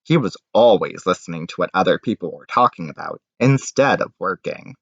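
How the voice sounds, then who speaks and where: A man's voice: low voice, lively intonation, a little fast, creaky. A man reading a picture book aloud to children like a teacher, expressively and with chatty asides. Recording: dull, echoey room recording.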